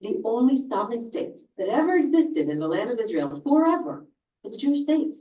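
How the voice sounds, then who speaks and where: A woman's voice: a little high, big pitch swings, a little fast. A woman whose first language is Hebrew, narrating a scripted explainer video and driving her points home with emphatic rhetorical questions. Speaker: video presenter. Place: video played in a room. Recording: distant echoey playback, dull sound.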